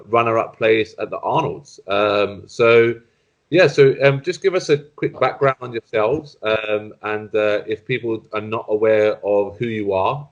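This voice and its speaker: A man hosting a podcast interview, introducing guests hesitantly with many ums and self-corrections. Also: on the low side. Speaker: podcast host. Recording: dull lo-fi sound.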